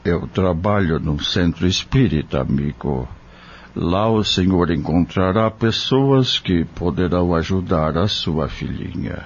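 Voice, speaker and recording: Homem interpretando médico brasileiro bondoso, voz bem grave. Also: male voice, low-pitched, lively intonation, husky, heavily acted. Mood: caring, reassuring. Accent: Brazilian. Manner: slow, explanatory, gentle counsel. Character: kindly male doctor, patient, caring spiritist. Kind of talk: audio drama acting. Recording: dull lo-fi audio.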